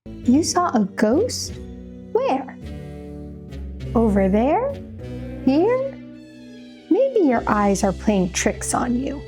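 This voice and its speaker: Woman as spooked storybook narrator: high, slow, theatrical, nervous warnings to young listeners. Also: wildly swooping pitch, a little mellow, faint music behind, heavily acted. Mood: startled, doubtful. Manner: theatrical, addresses listener directly with questions. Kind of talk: dramatic children's read-aloud.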